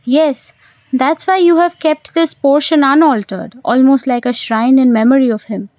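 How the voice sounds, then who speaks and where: A woman's voice: sits high, normal speed, a little mellow, dry recording, natural voice. A woman voicing a polite, curious young man, a prospective son-in-law, in an audio play. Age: young adult. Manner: earnest, deferential, questioning.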